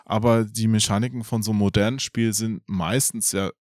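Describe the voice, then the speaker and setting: A man's voice: fairly low pitch, steady tone, a little fast, no room sound, clean studio sound. A man hosting a casual chat, talking informally as he puts questions to his guests. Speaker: podcast host interviewing two guests. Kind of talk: casual chat about games.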